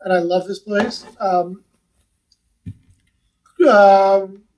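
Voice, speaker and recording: High-voiced man, a bit off-mic. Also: little pitch movement, extremely slow, distant mic, crowd noise, low-quality recording. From West Los Angeles. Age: 40s. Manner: easygoing, chatty storyteller. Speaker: guest, real estate investment advisor. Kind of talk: casual podcast interview.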